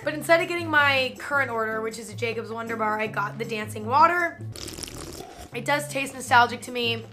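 A woman's voice: on the high side, pushed and bright. A young woman from Northern California, chatting casually and self-mockingly to camera as a vlogger in a hotel room, with lots of "like" fillers. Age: young adult. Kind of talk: casual vlog chat.

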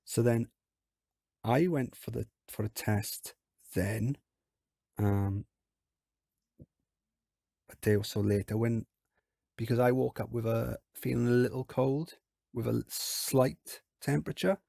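A man with a hoarse, croaky voice from illness, chatting casually as he talks through a theme park game. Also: mid-range voice, slow pace, pauses often, no echo, clean studio sound. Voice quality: husky, croaky. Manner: relaxed, chatty, explains as he plays. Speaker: game video host.

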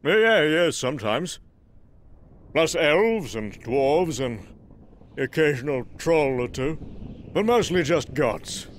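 Man acting a grand, slow-talking thunder god. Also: fairly high pitch, lively intonation. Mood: boastful, jovial. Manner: grand, theatrical, archaic formal diction. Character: boastful, mighty Norse thunder god, proud warrior storyteller. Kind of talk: dramatic comic book reading with narration.

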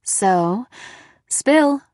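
A woman's voice: high-pitched, animated, unhurried, darkish tone, slightly rough, no echo, voice pushed up, exaggerated voice. A woman voicing a worried, skeptical and bossy middle-aged mother in an audiobook story. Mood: eager, bossy. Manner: questioning, insistent, scolding.

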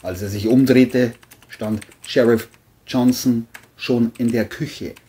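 A woman with a very deep, mellow voice narrates a crime story. She reads the script at a slow pace. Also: fairly even intonation.

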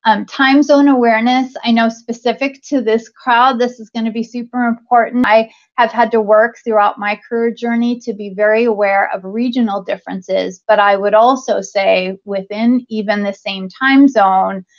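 A woman's voice: a little high, steady tone, normal speed, hardly any pauses. A woman in her thirties giving a conference talk over slides, speaking conversationally with many ums and restarts, via a webcam on a video call. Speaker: conference speaker, talent acquisition leader. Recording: dull lo-fi video call audio.

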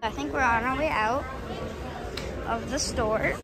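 A high-pitched woman narrates a casual travel vlog into a handheld phone, talking straight to her viewers. Her delivery is chatty and loose, full of little fillers. Background music plays clearly under her voice. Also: a little slow, over a noisy crowd. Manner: chatty, with frequent fillers, addressing viewers as "guys". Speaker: vlogger. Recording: music playing over the voice.

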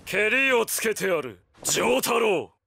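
A man's voice: high-pitched, big pitch swings, slow pace, creaky, pushed and bright, roomy sound, big-room sound, a little hiss or hum, heavily acted. A man voicing a gloating, megalomaniac immortal evil emperor in a video game, theatrical and grandiose. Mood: manic gloating.

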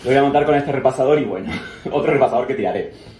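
A man's voice: mid-range voice, fast talker, a little mellow, echoey room. A man in his thirties with an Argentine accent, chatting casually to his followers in a dramatic, exclamatory way and often repeating himself. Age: 30s. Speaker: social media vlogger. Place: indoors at home, mostly a kitchen. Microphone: phone, at varying short distance. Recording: noisy handheld phone recording.

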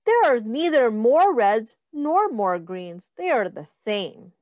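A woman teacher reading a picture book aloud to young children, deliberately and instructively, asking questions and counting along. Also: fairly high pitch, big pitch swings, a little mellow, no echo.

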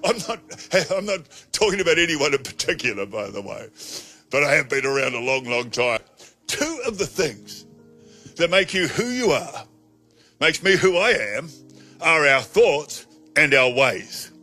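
Husky, bright-toned preacher in his sixties, emphatic, handheld mic, soft music underneath. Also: a little high, big pitch swings. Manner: emphatic, slows to stress key phrases. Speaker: pastor. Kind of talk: sermon. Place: studio stage with colored backdrop.

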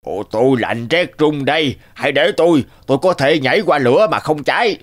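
A man as eager, higher-voiced fairy-tale sidekick. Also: animated, a little fast, close to an everyday voice. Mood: alarmed, eager. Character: odd loyal fairy-tale servants with strange powers, good-natured, eager. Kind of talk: animated fairy-tale dubbing.

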